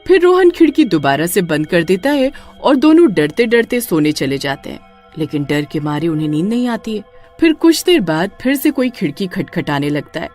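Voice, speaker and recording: Lively woman narrating a horror story. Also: on the low side, moderate pace, faint music behind. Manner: expressive storytelling.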